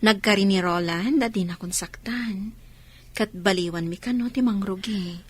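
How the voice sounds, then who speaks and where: Husky, soft-spoken hurt wife, played by a woman. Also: medium pitch, normal speed, close to an everyday voice. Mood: confiding. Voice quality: husky, breathy. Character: hurt, yielding wife reconciling with her husband. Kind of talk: Filipino radio drama acting.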